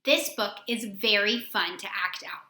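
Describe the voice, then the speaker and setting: A woman reading a picture book aloud to children in an expressive way, stopping to ask them questions. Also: mid-range voice, unhurried. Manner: expressive, asks listeners questions. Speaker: read-aloud host for children. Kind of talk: children's storybook read-aloud. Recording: roomy echo.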